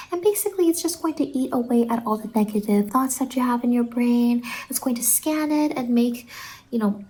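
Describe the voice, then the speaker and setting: A soft-spoken woman doing an ASMR roleplay as a salon worker, soothing and reassuring, often slipping into a whisper. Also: fairly high pitch, husky, low-quality recording.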